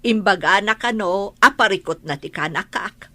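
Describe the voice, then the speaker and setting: A woman's voice: medium pitch, normal speed, sharp tone, natural voice. A woman with a Filipino accent playing a concerned middle-aged mother-in-law in a radio drama, speaking imploringly. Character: concerned older mother-in-law pleading for her daughter. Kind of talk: Ilocano radio drama acting.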